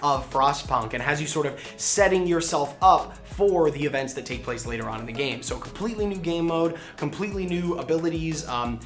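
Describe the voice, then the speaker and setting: A man with a hoarse voice hosting a casual online gaming and entertainment news update, talking to viewers in an enthusiastic, emphatic, informal way. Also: on the high side. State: hoarse from shouting. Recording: music under the voice. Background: background music.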